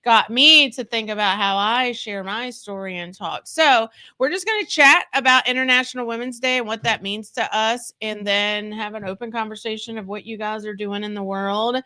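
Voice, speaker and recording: A woman hosting a relaxed recovery podcast, chatty and loose with many fillers. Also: on the high side, moderate pace, few pauses. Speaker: host. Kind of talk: casual chat.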